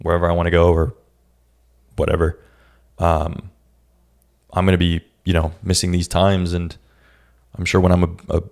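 A young man hosting his own podcast, talking in a casual, reflective way with a raspy voice and many restarts. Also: sits low, monotone, moderate pace, pauses often, darkish tone. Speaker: podcast host. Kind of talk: casual solo podcast talk.

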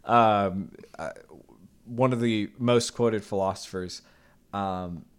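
A man co-hosting a podcast, setting up the discussion and teasing his brother. His voice sits fairly low. He talks in a relaxed, chatty way. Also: takes it slowly, clean tone. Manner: teasing, introduces topics. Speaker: podcast co-host. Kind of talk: casual comedic chat.

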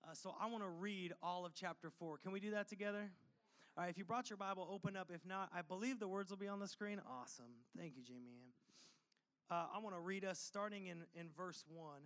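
A young adult man preaching a sermon as pastor, casual and energetic, with many fillers, sniffly and slightly losing his voice from a cold. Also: sits high, a little fast.

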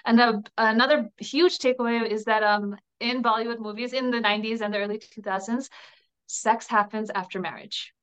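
A woman in her thirties with a smooth, resonant voice pitched a little high. She talks earnestly and chattily as an interview guest. Her sentences often stop and restart. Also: steady tone, normal speed, fairly bright tone, poor audio. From New Jersey, USA. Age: 30s. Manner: earnest and chatty, with frequent restarts and small laughs. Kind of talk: candid personal interview.

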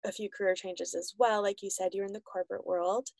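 A woman's voice: mid-range voice, fairly even intonation, brisk, creaky, darkish tone, no room sound, studio quality. A woman hosting an interview show who asks reflective questions, talking casually with many "um"s and "like"s. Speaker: podcast host, interviewer.

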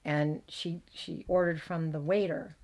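A middle-aged American woman with a gravelly voice, chatting as a podcast host and telling animated stories. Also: sits low, measured pace, low-quality recording. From United States. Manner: chatty, animated storyteller, laughs often. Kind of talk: casual chat.

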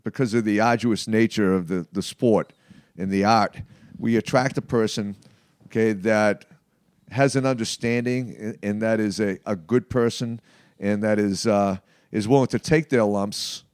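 A man in his late fifties speaking conversationally in an interview, in a halting, emphatic way with frequent check-ins. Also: on the low side, fairly even intonation, a little mellow, no echo. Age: late 50s. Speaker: martial arts practitioner being interviewed.

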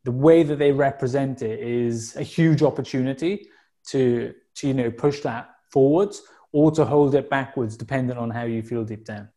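Mellow-voiced Welsh man. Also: medium pitch, normal speed. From South Wales. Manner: open and reflective, frequent fillers and restarts. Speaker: podcast guest. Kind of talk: casual conversational interview.

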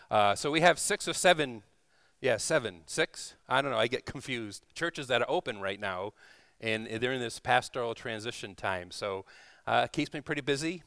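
A man's voice: mid-range voice, gravelly, fairly bright tone, clear, resonant tone, no echo. A man preaching informally to a congregation in church, folksy and conversational, often checking in with little tag questions. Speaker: preacher, visiting church leader. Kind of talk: informal sermon to a congregation, with scripture reading and prayer. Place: speaking live in a church.

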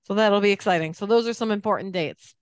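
A woman's voice: mid-range voice, fast talker, clear, resonant tone, dry recording. A woman co-hosting a sports podcast, explaining things in an informative way in casual discussion.

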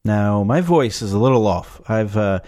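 A man's voice: lowish voice, expressive, normal speed, mellow. Scratchy-voiced man joking through a movie. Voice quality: scratchy, raspy. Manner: joking, sarcastic. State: has a cough. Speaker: host reviewing a movie. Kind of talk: casual movie watch-along commentary.

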